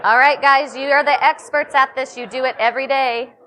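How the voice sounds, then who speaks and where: Female workshop trainer, bright upbeat coaching delivery, a touch higher voice, live seminar feel. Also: steady tone, brisk, a faint crowd. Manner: upbeat, coaching, calls on participants. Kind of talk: live workshop talk to a room.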